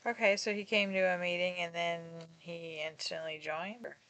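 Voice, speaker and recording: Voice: medium pitch, moderate pace, pushed and bright, no echo. An interviewer asking follow-up questions in a casual, conversational way.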